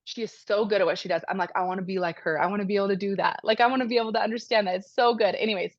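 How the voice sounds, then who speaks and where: A woman's voice: mid-range voice, fairly even intonation, racing, dry recording, highs cut off. A woman hosting a team call, talking in a chatty, enthusiastic way as she wraps up. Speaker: team leader and call host. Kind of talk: casual talk wrapping up a video call.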